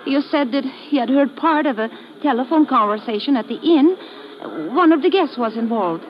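A dull old radio recording carries an actress as a nervous young woman. Her voice is high, her phrasing hesitant and careful. She sounds evasive, in the manner of a radio femme fatale. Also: normal speed, close to an everyday voice. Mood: reluctant, confessing. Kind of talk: old-time radio drama acting.

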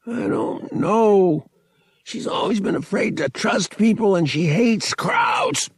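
A man's voice: high voice, takes it slowly, slightly rough, dry recording, clean studio sound, voice pushed up, full character voice. A man narrating an audiobook, voicing a cruel young male cousin who taunts with mocking emphasis and sadistic glee. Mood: strained, insistent.

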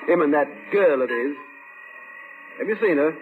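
An actor playing a blunt working-class garage owner with a London accent in an old radio drama, brash, chatty and sardonic. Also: male voice, a little high, animated, normal speed, narrow, tinny audio, soft background music, natural voice. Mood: sardonic, exasperated. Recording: thin, noisy old radio recording.

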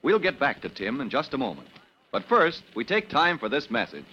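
A man's voice: medium pitch, big pitch swings, normal speed. An American man narrating as a stentorian, melodramatic announcer on an old-time radio show. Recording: muffled, noisy old radio recording.

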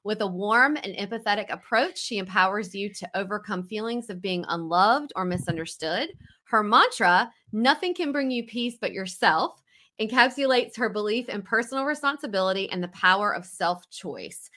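A woman's voice: medium pitch, lively intonation, moderate pace, bright, forceful tone. A woman hosting a podcast, chatting casually and in a friendly way with her guest, often saying okay. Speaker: podcast host. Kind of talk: casual interview chat.

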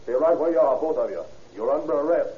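A man's voice: a little high, expressive, fast talker, lightly acted. An actor playing a curt, pushy working man of the law in a 1930s radio crime drama. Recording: tinny, very noisy old radio recording.

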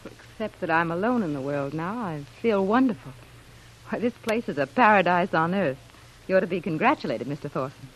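A woman's voice: lowish voice, animated, fairly quick. An actress playing a plucky shipwrecked wife in a vintage radio drama, telling her tale earnestly. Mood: gracious. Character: plucky shipwrecked young wife spinning a cover story. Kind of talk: 1940s radio acting. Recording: noisy vintage radio recording.

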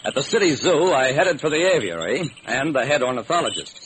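A man's voice: medium pitch, sing-song, fairly quick, natural voice. An actor playing a hardboiled American private detective who wryly narrates his own case in an old-time radio drama. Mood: wry, intent. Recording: noisy old radio recording.

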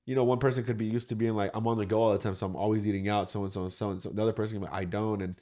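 A man's voice: on the low side, steady tone, rapid-fire, mellow. A man in his thirties, a podcast host, talking in a laid-back, casual way full of "like" and "you know". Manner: laid-back, loose, filler-heavy talk with "like". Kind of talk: casual chat.

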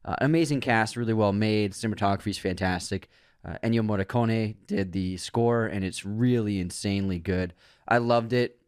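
Podcast co-host, male, lower voice, eager rambling film talk, dry close mic. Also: normal speed, clear, resonant tone, no room sound. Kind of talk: casual chat.

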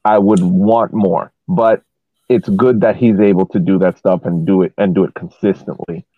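A man talking casually as a podcast panelist analysing soccer, explaining at length in a halting way with repeated words. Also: sits low, fairly even intonation, no echo. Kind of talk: casual match analysis discussion. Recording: thin phone-like line.

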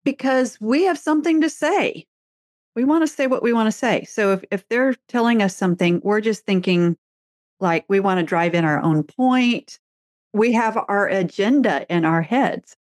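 A woman's voice: medium pitch, moderate pace, no room sound. Casting: middle-aged woman host, chatty storyteller, dry close recording. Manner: chatty storyteller, laughs easily. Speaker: podcast host, therapist and author. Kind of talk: casual conversation.

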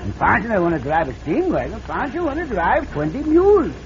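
An actor in an old radio western playing a childlike, excitable Mexican sidekick who speaks broken English and exclaims a lot. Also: male voice, sits high, fairly quick. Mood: excited, dismayed. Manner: broken English, exclaiming, refers to himself by name. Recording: muffled, noisy vintage radio recording.

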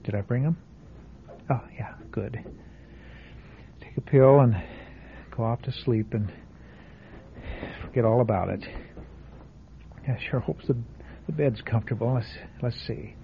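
An actor playing a nervous insurance claim investigator in a classic radio drama, stammering and muttering to himself, heard over a tinny old broadcast recording with faint hiss. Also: male voice, mid-range voice, unhurried, vocal fry, long pauses. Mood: uneasy. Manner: jittery, stammering, talking to himself. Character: nervous middle-aged male insurance investigator.

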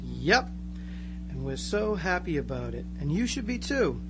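Over clear noise and hum comes a man playing a teenage small-town boy in a live radio drama, blissfully converted and eerily placid. His highish pitch moves in wide, lively swings as he reassures with gushing earnestness. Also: measured pace, low-quality recording.

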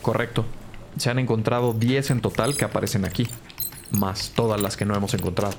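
Creaky-voiced, formal male analyst. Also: on the low side, steady tone, a little fast, slight background noise. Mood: factual, composed. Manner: precise, formal, unemotional. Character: formal, factual police analyst reporting case findings. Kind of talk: audio drama acting.

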